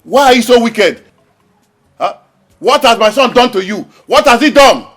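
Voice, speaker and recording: An actor playing a furious, grief-stricken father who shouts accusations at his brother. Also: very high voice, lively intonation, moderate pace, full character voice. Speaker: father in a village drama.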